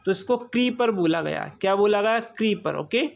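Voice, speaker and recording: A man's voice: high voice, lively intonation, normal speed, creaky. A man teaching an online exam-prep quiz lesson, asking each question and repeating the answer, with frequent "okay".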